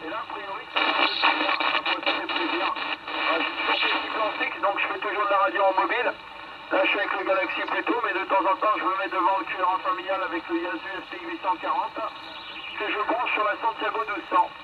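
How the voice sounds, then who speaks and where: Dull noisy radio signal, man in a car, casual chat, high voice, repeated phrases. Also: steady tone, fairly quick. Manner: chatty, repeats phrases for confirmation. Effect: radio transmission. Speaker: mobile amateur radio operator. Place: moving car on the road. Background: loud radio and vehicle noise.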